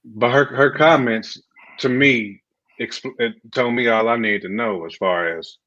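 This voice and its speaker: A man's voice: mid-range voice, measured pace, bright, forceful tone, no room sound, dull audio. A man co-hosting a casual hip hop podcast, joking in slang and circling back over his phrases for emphasis. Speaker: podcast co-host. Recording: other voices clearly behind.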